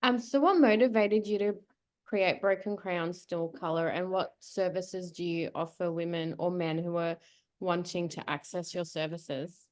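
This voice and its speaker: A woman's voice: fairly low pitch, fairly even intonation, measured pace, a little mellow. A young woman, politely and a little hesitantly asking interview questions. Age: young adult. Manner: polite, slightly hesitant, with frequent ums. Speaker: student interviewer.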